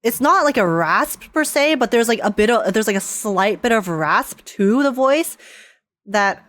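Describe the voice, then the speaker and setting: High-voiced, playful woman gaming streamer. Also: unhurried, no echo. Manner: giggly, excitable, playful. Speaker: streamer reacting to a game. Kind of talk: casual live gaming reaction.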